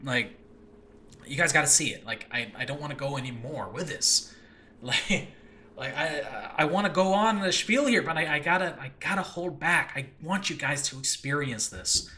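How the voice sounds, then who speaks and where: A man hosting a casual solo podcast, gushing excitedly to his listeners in a rambling, halting, self-interrupting way. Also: on the high side. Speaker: host and creator of an audio drama channel.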